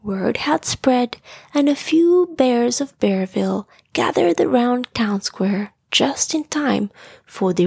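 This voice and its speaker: A woman's voice: on the high side, creaky, a little mellow, husky, dry recording, clean studio sound. A woman storyteller narrating a children's bedtime story.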